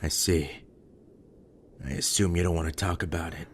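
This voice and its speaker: A man's voice: low-pitched, fairly even intonation, moderate pace, a little hiss or hum, lightly acted. A man playing a blunt, guarded, surly survivor in an amateur audio drama. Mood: flat, probing. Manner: blunt, terse.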